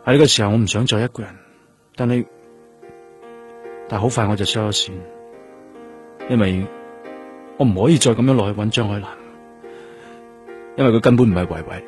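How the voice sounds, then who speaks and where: A man's voice: fairly low pitch, a little fast, pauses often, darkish tone, faint music behind, natural voice. A man acting a brooding, grief-stricken but determined police detective in a radio drama. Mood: sorrowful. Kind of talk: Cantonese radio drama acting, introspective narration.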